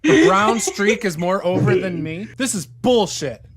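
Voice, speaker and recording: A tabletop role-playing gamer bantering in character in an animated, indignant way. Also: mid-range voice, measured pace, over a noisy crowd. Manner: indignant, exclamatory. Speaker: tabletop game player.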